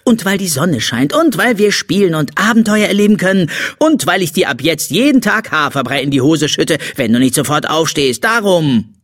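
A man voicing a brash, bossy, cheerful little girl in an animated children's audiobook style. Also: high voice, slow pace, slightly rough, voice pushed up, full character voice. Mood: insistent. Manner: brash, exclaiming.

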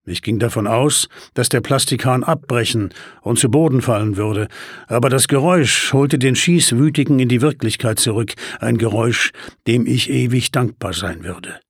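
A man narrating a first-person crime story as an audiobook, measured and tense with dry humor. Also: mid-range voice, husky, dry recording.

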